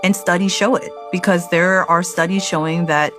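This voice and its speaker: Low-voiced woman expert explaining firmly. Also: steady tone, moderate pace, music in the background. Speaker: interviewed expert. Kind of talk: interview answers.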